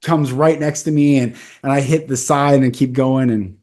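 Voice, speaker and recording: A man's voice: mid-range voice, fairly even intonation, moderate pace, dark tone. A man in his forties with a mid-range voice hosts a podcast. He shares a personal story in a candid, earnest, conversational way. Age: 40s. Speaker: podcast host. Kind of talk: casual conversation.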